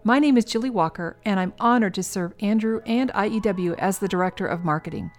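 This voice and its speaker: A woman's voice: medium pitch, a little fast. A woman hosting a podcast, speaking articulately in conversation and putting questions to her guest. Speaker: podcast host and interviewer. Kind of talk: hosted podcast conversation, reading listener questions.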